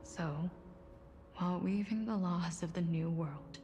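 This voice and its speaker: Loud music sits behind an actress playing a bereaved demigoddess of death, a soft, low-leaning female game character who speaks slowly and solemnly. Her breathy voice carries a quiet sorrow, like someone telling an old story. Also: natural voice. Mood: grieving, bitter. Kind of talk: English video game voice acting, slow storytelling.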